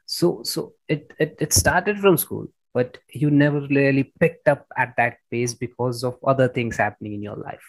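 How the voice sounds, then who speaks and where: Guy doing a casual interview, mid pitch, dry sound, encouraging, keeps rephrasing. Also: moderate pace, hardly any pauses, no room sound, low-quality recording. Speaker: interviewer, host.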